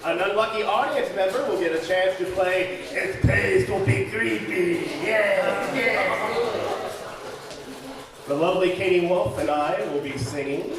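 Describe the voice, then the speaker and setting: A man hosting a live old-time radio show in a showy, jokey manner. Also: high-pitched. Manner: showy, jokey, drawn-out greetings and repeats. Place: community center hall, live audience. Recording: echoey hall, distant mic, audience noise.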